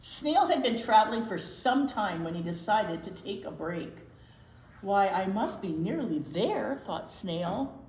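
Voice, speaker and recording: Woman storytime reader for small kids. Unhurried, animated pitch, expressive, echoey room. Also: slight background noise. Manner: expressive, asking the children questions. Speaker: children's storytime teacher and reader. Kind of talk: picture-book read-aloud for young children. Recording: roomy, echoing indoor sound.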